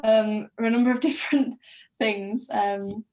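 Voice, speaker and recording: A woman's voice: a little high, steady tone, unhurried, darkish tone. A woman guest on a podcast discussing a book casually, thinking aloud in a hesitant way.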